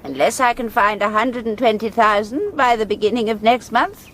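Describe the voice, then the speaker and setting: Radio drama: genteel elderly lady ringleader, polite but firm, fairly high voice with expressive swings. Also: normal speed, a little hiss or hum. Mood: grave, determined. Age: older. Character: genteel, composed elderly lady masterminding a robbery.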